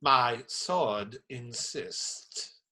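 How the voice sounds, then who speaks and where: A man's voice: mid-range voice, very slow, pushed and bright. A man acting in a radio play as a stout, strong friar who is indignant, pious and sarcastically preachy. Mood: threatening, sardonic. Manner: theatrical, sermonizing sarcasm.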